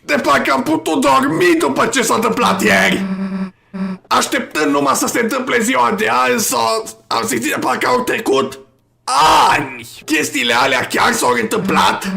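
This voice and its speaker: Man putting on a brash, high-pitched teenage monster schoolgirl. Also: lively intonation, gravelly, exaggerated voice. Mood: brash, excited. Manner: shouty, swaggering, dramatic. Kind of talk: amateur dub of video game dialogue.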